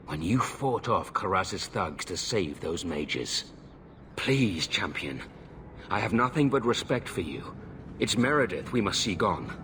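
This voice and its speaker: A man's voice: lowish voice, fairly bright tone, a little hiss or hum. A man playing a principled, weary leader of conspirators in a fantasy game, earnest and firm. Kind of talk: fantasy video game acting.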